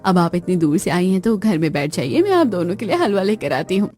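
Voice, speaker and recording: A woman playing a warm, hospitable young village daughter-in-law in an animated story, polite and expressive. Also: fairly low pitch, a little fast, soft background music. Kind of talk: animated moral story dialogue.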